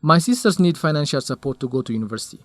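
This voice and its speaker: A man's voice: a little high, expressive, rapid-fire, dry recording, close to an everyday voice. An actor playing a young male researcher in a radio drama, lovesick and stubborn, speaking in an earnest, defensive way.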